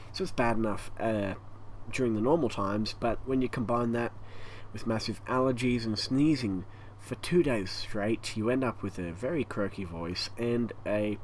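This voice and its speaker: A man with an Australian accent and a croaky, hoarse voice, rambling through a casual channel update at home, stopping often for breath. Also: fairly low pitch, low-quality recording. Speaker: YouTube gaming channel host. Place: hot home room with a fan running. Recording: faint fan noise behind.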